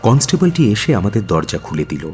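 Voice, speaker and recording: A detective's companion who narrates the adventure. His voice is lowish and very lively, full of suspense and expression, set over clearly audible music in a radio play. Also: fairly quick. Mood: suspenseful. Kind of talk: dramatic first-person storytelling in radio play.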